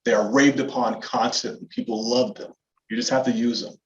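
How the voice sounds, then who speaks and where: A man's voice: medium pitch, steady tone, brisk, dull audio. A man giving casual, enthusiastic and emphatic product talk as a company representative on a webinar.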